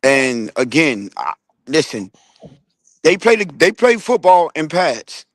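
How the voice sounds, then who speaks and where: Male host, casual sports talk, rambling with restarts, slightly high voice, dry close sound. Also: sing-song, takes it slowly, no room sound. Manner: rambling, many restarts and fillers; asks the panel questions. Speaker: podcast host. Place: online audio room.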